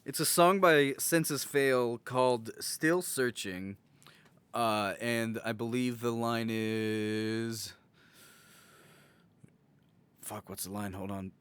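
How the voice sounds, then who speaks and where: A man's voice: mid-range voice, steady tone, slow, long pauses, fairly bright tone, clean tone. A young man hosting a podcast with casual banter and a slangy, sweary way of talking. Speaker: podcast host.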